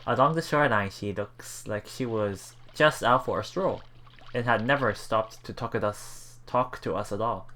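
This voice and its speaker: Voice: mid-range voice, moderate pace, a bit bright. A let's play host reading game text aloud with casual commentary, often stumbling and repeating words.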